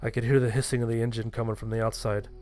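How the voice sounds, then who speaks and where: A man's voice: mid-range voice, little pitch movement, racing, a little mellow. A man reading a scripted first-person horror story as its narrator.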